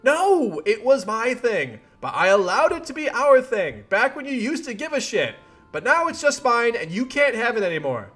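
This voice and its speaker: An exasperated young woman as a man acts her out scolds in a high, forceful voice. She is biting and emphatic, raised to the edge of a shout, with music behind. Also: very animated, moderate pace, exaggerated voice.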